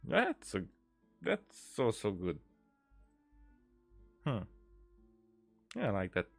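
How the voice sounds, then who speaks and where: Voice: medium pitch, huge pitch swings, takes it slowly, vocal fry, slightly rough. A gaming streamer giving casual playthrough commentary and reading dialogue aloud, with game music behind.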